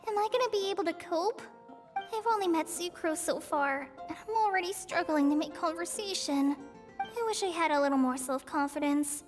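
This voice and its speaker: A woman's voice: very high voice, normal speed, faint music behind. A woman voicing a shy, earnest, polite young girl with a hesitant manner in a video game. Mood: self-doubting. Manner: hesitant, soft-spoken.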